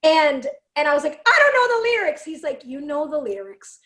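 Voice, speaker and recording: A woman from Canada with a raspy, fairly deep voice, telling stories in a casual chat and acting out the lines she quotes. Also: fairly quick, reverberant. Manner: animated, acts out quotes, laughs often. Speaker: podcast guest, singer and speaker.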